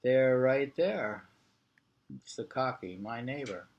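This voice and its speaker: A man's voice: mid-range voice, expressive, slow pace, darkish tone, lo-fi sound. A man reading an interview aloud in an informal way. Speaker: reader of a printed interview.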